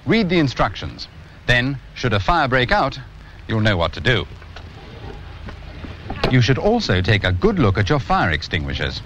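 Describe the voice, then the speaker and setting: A British man in his fifties presenting a scripted instructional training film in a measured, instructive manner. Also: mid-range voice. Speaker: presenter and narrator of a fire safety training film. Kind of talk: scripted instructional narration to viewer. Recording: muffled, noisy 1980s 16mm film soundtrack.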